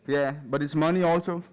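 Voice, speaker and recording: A man from Denmark with a Danish accent, giving an informal, anecdotal talk in a nervous, hesitant, halting and giggly way. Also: fairly high pitch. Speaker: speaker at a live event. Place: in front of a large live audience.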